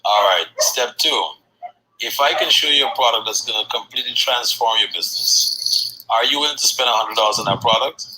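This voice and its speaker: A man hosting a sales session, giving a brash motivational pitch and teasing his guests, with casual cursing. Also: a little fast, background noise.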